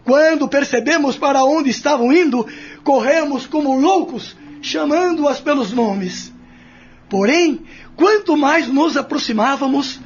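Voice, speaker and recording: A man's voice: extremely high pitch, dull audio, slight background noise. A man acting a distraught young wastrel who confesses tearfully in a courtroom audio drama. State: crying.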